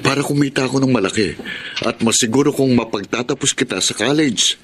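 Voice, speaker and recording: An actor playing an earnest, devoted, hardworking boyfriend in a Tagalog radio drama, speaking in a heartfelt way. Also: male voice, medium pitch, fairly quick, slight background noise, natural voice. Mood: excited, tender. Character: Robert, devoted hardworking boyfriend, adult man.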